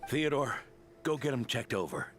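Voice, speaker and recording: An actor playing a weary, caring aging mentor in a video game. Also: male voice, mid-range voice, animated, moderate pace, fairly bright tone, faint music behind, close to an everyday voice. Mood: tired, concerned.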